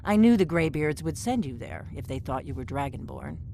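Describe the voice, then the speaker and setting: Secretive innkeeper spy. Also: female voice, sits low, sing-song, a little fast, vocal fry, noisy background, full character voice. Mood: urgent. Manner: cautious, urgent, conspiratorial.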